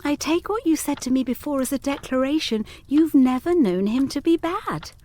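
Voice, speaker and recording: An actress as a young governess in a gothic audio play, high-voiced and very expressive. She is earnest and protective, with formal, measured period phrasing and a searching, questioning way. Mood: probing. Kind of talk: audio drama acting of a gothic period story.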